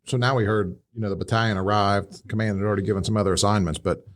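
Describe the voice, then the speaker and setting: A man's voice: lowish voice, steady tone, quick pace, slight background noise. An American man from the Midwest giving casual analytical commentary, explaining step by step with plenty of "you know". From Midwestern United States. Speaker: podcast host and fire command instructor.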